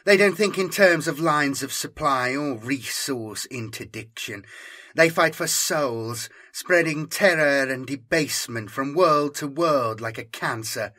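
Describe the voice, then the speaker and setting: A man's voice: medium pitch, unhurried, bright, forceful tone. A man voicing a stern, commanding female inquisitor in a grave, intense audiobook style. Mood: grave, insistent.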